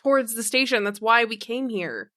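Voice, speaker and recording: A woman's voice: fairly high pitch, animated, normal speed, pushed and bright. A woman talking casually, partly in character, as a player in a tabletop roleplaying game.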